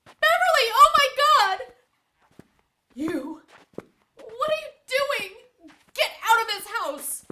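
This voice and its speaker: An actress playing a terrified sister in an audio drama, crying out in panic. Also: female voice, very high voice, very animated, slow, pauses often, bright, forceful tone, slight background noise, heavily acted. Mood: panicked. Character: frantic, terrified sister screaming for help.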